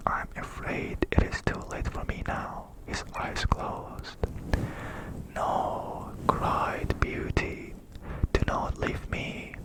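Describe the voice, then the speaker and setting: Slow, hushed ASMR whisper. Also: poor audio. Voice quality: unvoiced, breathy whisper. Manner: slow, hushed, gentle delivery. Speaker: ASMR storyteller and channel host. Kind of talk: whispered ASMR bedtime storytelling.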